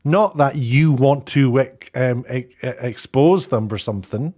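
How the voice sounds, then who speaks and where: A man's voice: mid-range voice, warm tone. A man giving unscripted opinion commentary as an online host, rambling and often correcting himself as he goes. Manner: halting, rambling, self-correcting.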